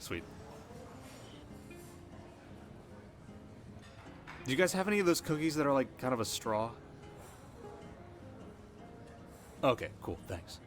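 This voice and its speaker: A cocky teenage bad boy acted by a man speaks over background music in an ASMR roleplay. His voice sits at a middling male pitch, and he talks in loose street slang, teasing on the surface and soft-hearted underneath. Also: natural voice. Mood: casual, helpful. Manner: slangy, casual, stumbling when flustered. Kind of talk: ASMR roleplay acting, addressing the listener.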